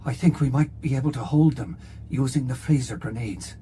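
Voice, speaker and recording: A man voicing a gruff, determined male station engineer who speaks in a blunt, urgent way. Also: mid-range voice, moderate pace, pitched above the performer's own voice, natural voice. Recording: loud background noise.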